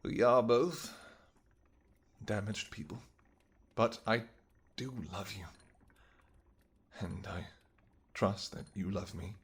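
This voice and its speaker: A man playing a tender, protective vampire king in a roleplay audio drama, speaking formally and earnestly, with halting phrases. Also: lowish voice, slightly rough, exaggerated voice. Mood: tender, remorseful.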